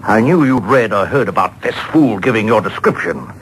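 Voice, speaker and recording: An actor playing a menacing, gloating armed killer in a 1940s-style radio drama, speaking in a deliberate, taunting manner. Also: male voice, on the low side, lively intonation, a bit bright, rough recording. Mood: smug, gloating. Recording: home-taped old radio broadcast, faint noise.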